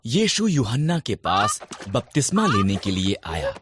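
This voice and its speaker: A narrator telling scripted Bible stories for children in a deliberate storytelling delivery. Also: medium pitch, wildly swooping pitch, background noise. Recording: background music under the narration. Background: music.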